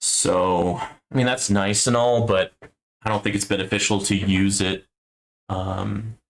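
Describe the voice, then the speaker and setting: Male streamer, deep gravelly voice, musing low-key play-by-play. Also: fairly even intonation, measured pace, a little hiss or hum. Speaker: game streamer. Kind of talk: casual gameplay commentary.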